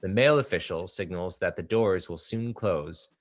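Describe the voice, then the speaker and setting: Deep-voiced man reading stage directions. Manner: steady, measured reading. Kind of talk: play table read narration.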